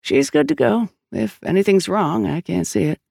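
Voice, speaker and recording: Brief: a narrator as a calm clinic doctor, audiobook, plain delivery, dry sound. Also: medium pitch, normal speed, gravelly, husky, no echo, exaggerated voice. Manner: matter-of-fact. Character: calm, brisk doctor examining a patient.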